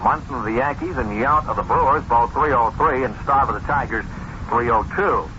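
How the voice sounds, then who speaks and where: A man's voice: medium pitch, normal speed, pushed and bright. A man working as a radio baseball broadcaster, reading out statistics on air. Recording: noisy, dull old radio broadcast.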